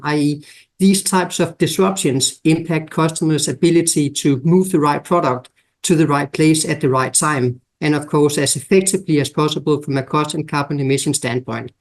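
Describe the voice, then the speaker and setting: Danish man, podcast guest, slightly high voice, measured and explanatory. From Denmark. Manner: measured, explanatory, lists examples. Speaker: guest expert. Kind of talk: podcast interview.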